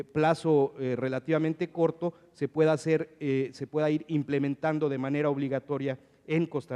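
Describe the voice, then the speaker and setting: A man with a Mexican accent moderating a conference panel in a semi-formal, hesitant and halting way, often repeating his words. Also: medium pitch, mellow, smooth. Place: conference hall. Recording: reverberant conference hall sound.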